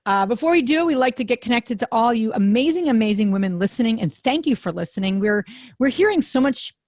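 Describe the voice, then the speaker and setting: A woman's voice: on the high side, expressive, fast, no room sound, studio quality. A woman hosting a podcast, talking in a loose, rambling way with lots of fillers and restarts. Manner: warm rambling delivery with many fillers. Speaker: podcast host.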